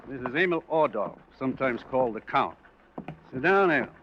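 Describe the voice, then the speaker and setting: On a muffled, slightly noisy vintage radio recording, a male actor plays a hard-boiled police lieutenant. He talks in a clipped, confident, commanding way at a middling pitch. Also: lively intonation, brisk, natural voice. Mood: familiar, commanding. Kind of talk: 1950s radio drama acting. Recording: dull vintage radio recording, faint noise.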